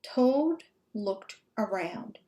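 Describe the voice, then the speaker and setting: A woman reading a children's storybook aloud in an expressive, emphatic narrating style. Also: medium pitch, extremely slow, highs cut off. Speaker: storybook reader.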